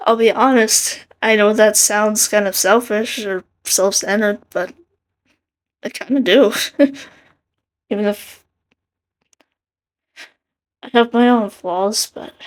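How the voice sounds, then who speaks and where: A soft-spoken young woman with a quiet voice, giving earnest casual commentary and laughing between thoughts. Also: mid-range voice. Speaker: art commentary video maker. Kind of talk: casual commentary over a speedpaint.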